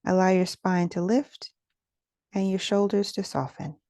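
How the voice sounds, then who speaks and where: A woman leading a guided meditation as its teacher, speaking in a gentle, soothing, instructional manner with soft pauses. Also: on the low side, fairly even intonation, no echo, studio quality.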